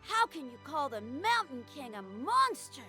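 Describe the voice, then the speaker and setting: A woman's voice: sits high, big pitch swings, unhurried, sharp tone, faint music behind, exaggerated voice. Indignant little village girl. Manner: indignant, pleading, childlike. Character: sensitive young village girl, loyal and idealistic. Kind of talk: video game dub.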